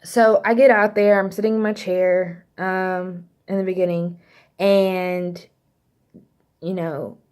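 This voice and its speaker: A woman's voice: fairly low pitch, flat delivery, slow pace, a little mellow, clear, resonant tone. A young woman with a slight Texas accent, casually telling a story to camera in a chatty, rambling way with lots of fillers. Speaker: vlogger.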